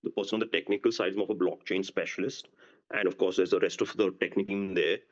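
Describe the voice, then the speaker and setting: Male executive, deep voice, halting unscripted answers full of restarts, muffled lo-fi conference line. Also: steady tone. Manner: halting, often restarting phrases. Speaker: company executive answering on an earnings call. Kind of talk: unscripted business Q&A. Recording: dull, lo-fi conference call audio.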